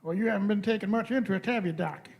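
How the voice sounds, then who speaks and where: A man's voice: high voice, fast talker, a little mellow. A man playing a cheeky, impatient young travelling companion in a radio play, colloquial and questioning. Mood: sceptical, impatient. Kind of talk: live staged radio play.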